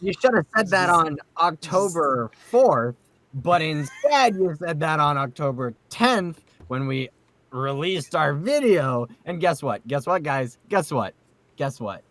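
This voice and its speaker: A man hosting a casual group podcast, talking in an excitable, jokey way with some mild swearing. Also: a little high, measured pace. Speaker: podcast host and amateur voice actor.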